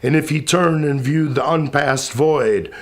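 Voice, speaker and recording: A man giving a solemn, declamatory recitation of epic poetry, with sudden swells and hushed drops in his gravelly delivery. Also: fairly high pitch, unhurried.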